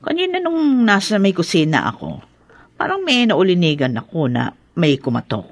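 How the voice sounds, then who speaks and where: Husky, suspicious older mother. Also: fairly low pitch, wildly swooping pitch, normal speed, no room sound, full character voice. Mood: doubtful, suspicious. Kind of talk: radio drama acting.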